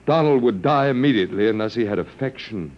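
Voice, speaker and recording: An actor playing an earnest, gently wry last man on earth, halting and coaxing, in old radio drama style. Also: lowish voice, expressive, close to an everyday voice. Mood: wry explaining. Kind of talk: 1950s radio drama acting. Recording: noisy vintage radio drama recording.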